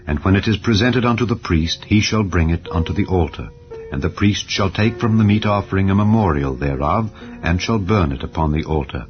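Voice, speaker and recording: A man with a very low voice reads scripture slowly and solemnly. The audio is a little dull and muffled, with soft music drifting underneath. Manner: slow, measured, solemn. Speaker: Bible narrator. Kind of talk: dramatized scripture reading. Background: soft ambient music.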